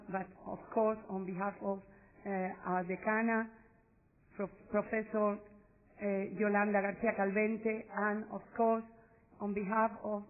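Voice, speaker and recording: Hoarse, halting Spanish-accented speaker. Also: medium pitch. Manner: halting, with many restarts. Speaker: law school representative welcoming a conference. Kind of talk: formal welcome speech. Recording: tinny phone-like recording.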